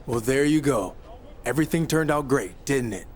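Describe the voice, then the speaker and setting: Male actor for cocky rookie wrestler, game cutscene. Medium pitch, assertive, defiant, slight background noise. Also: animated, normal speed, lightly acted. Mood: self-satisfied. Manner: smug, assertive. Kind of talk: video game cutscene acting.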